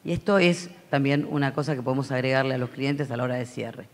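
A man with an Argentine accent speaking as a panelist at a conference, reflective and anecdotal, pausing often with fillers. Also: medium pitch. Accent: Argentine Spanish. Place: large conference hall stage.

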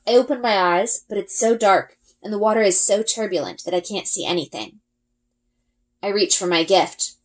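Lively woman reading a story aloud. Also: mid-range voice, moderate pace, low-quality recording. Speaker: read-aloud reader, elementary school. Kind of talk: expressive storybook read-aloud, first-person narration.

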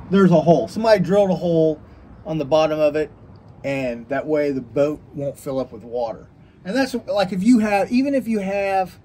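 A man's voice: on the high side, dark tone. Plainspoken man rambling through a boatyard. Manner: plainspoken, rambling, trails off and restarts. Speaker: sailing vlogger. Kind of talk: casual walkthrough commentary. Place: outdoors in a boatyard, walking around boats. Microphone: handheld camera, selfie framing.

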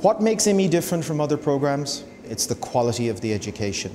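A man's voice: on the high side, sing-song, quick pace, long reverb tail. Man speaking confidently over music. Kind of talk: promotional interview.

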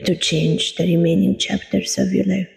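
A woman guiding a meditation in a soothing, deliberate manner, leaving long pauses between short phrases. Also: lowish voice, little pitch movement, vocal fry, darkish tone. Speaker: meditation guide, coach. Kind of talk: guided meditation narration. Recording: long reverb with music behind. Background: meditation music.

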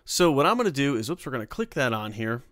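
A man hosts an informal software tutorial in a mid-range voice. He talks through the steps as he works, in a casual way. The voice-over is close and dry, with little room sound. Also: mid-range voice, sing-song, fast, dry recording. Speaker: tutorial host.

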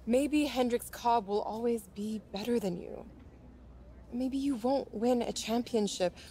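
A woman's voice: fairly high pitch, measured pace, mellow, a little hiss or hum, lightly acted. A young woman playing a blunt, independent athlete girlfriend in a video game, with a direct, unsentimental delivery. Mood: defensive, sardonic. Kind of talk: naturalistic video game cutscene acting.

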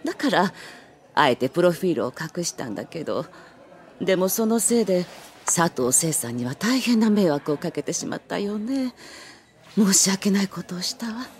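Lowish-voiced, expressive refined older lady. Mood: gentle, reflective. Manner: measured, genteel. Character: gentle, refined older lady, reflective alumna. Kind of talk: anime audio drama acting.

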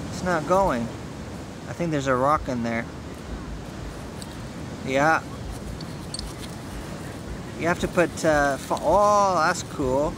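A man's voice: on the high side, takes it slowly, long pauses. Man coaxing a toddler on a windy beach. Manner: patient, coaxing, repeating simple instructions. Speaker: parent filming a child. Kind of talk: casual talk to a toddler. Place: outdoors on a sandy lakeshore beach. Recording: outdoor wind and wave noise.

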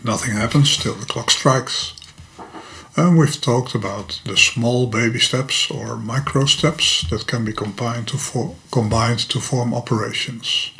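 A man's voice: mid-range voice, a little slow, gravelly, fairly bright tone. An older man with a Dutch accent, a native Dutch speaker, patiently and methodically explaining a computer topic in a screen-recorded lesson at his desk, now and then tripping over a word. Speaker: hobbyist teacher. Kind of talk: screen-recorded programming tutorial. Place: home workshop room with electronics.

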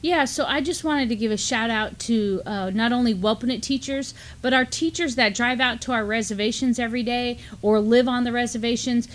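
Female co-host, middle-aged, somewhat higher pitch, earnest and conversational news commentary. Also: brisk, few pauses. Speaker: co-host and educator. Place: indoor studio desk, backdrop.

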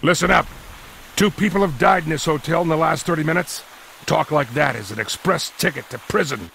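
An actor playing a stern, threatening small-town sheriff in a mystery video game. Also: male voice, fairly high pitch, fairly quick, gravelly, a bit bright, a little hiss or hum, poor audio. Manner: stern, authoritative.

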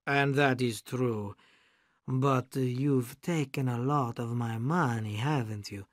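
Slow, menacing man's take on a gangster boss. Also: medium pitch, dry recording, clean studio sound, close to an everyday voice. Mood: slow menace. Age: middle-aged. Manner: swings from hushed menace to screaming rage. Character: slim gangster boss in his fifties, volatile and menacing.

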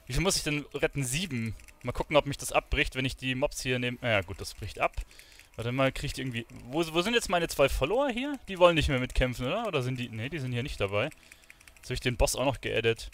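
A man's voice: medium pitch, expressive, quick pace, fairly bright tone. A man streaming a video game, thinking aloud in informal commentary sprinkled with English gamer slang. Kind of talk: casual live gaming commentary.

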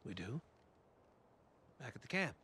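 An American man, middle-aged, playing a soft-spoken, placating survivor leader in a video game, with a hesitant, folksy, persuasive manner. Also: mid-range voice, sing-song, a little slow, slight background noise. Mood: placating, wary. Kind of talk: naturalistic cinematic video game acting.

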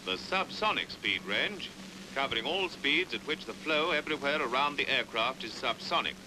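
A British man narrating a 1950s educational film in a precise, measured way, on a hissy old soundtrack. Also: mid-range voice, lively intonation.

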